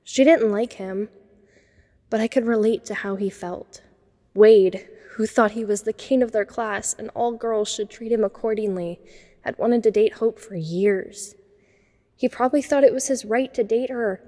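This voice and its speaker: Mellow, introspective teenage girl, acted by a woman, reverberant. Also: on the high side, a little slow. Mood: wry, wistful. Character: introspective, self-conscious teenage girl, a science geek outcast. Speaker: narrator and lead character. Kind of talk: first-person dramatic narration.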